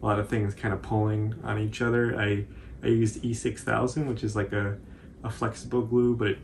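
A man in his twenties giving a casual, informal tutorial commentary in a halting, hesitant way. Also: fairly low pitch, flat delivery, vocal fry, a little hiss or hum. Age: 20s. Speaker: cosplay tutorial presenter.

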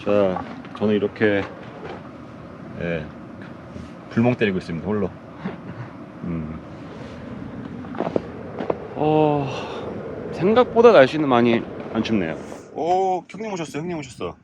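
A man with a medium-pitched voice narrates his camping trip in a casual, chatty way. He addresses viewers politely. The campsite recording carries clear background noise. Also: big pitch swings, slow, long pauses, lo-fi sound. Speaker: camping vlogger. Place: winter campsite, outdoors and in a tent. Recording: clear outdoor ambient noise.

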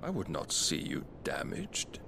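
An actor playing a cold, haughty, menacing villain with controlled delivery in a video game cutscene. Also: male voice, sits low, huge pitch swings, unhurried, gravelly, noisy background, exaggerated voice. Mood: cold menace.

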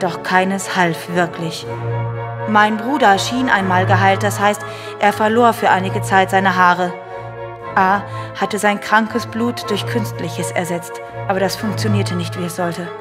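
A woman's voice: medium pitch, close to an everyday voice. Woman acting a grave, desperate daughter over music. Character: desperate afflicted daughter writing a plea for help. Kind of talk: dramatic letter reading in a German audio drama. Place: studio.

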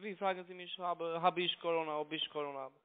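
A man with a foreign accent and non-native, halting German with broken grammar, making an unscripted sales call over the phone as a broker. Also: a little high, fairly even intonation, clear, resonant tone. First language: not German. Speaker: cold caller posing as a broker. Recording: tinny narrow phone line.

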